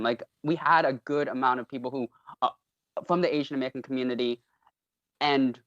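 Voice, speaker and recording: A man's voice: mid-range voice, a bit bright, no room sound, highs cut off. A man who worked on casting, speaking hesitantly as a podcast guest. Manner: hesitant, many fillers and restarts. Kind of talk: podcast interview.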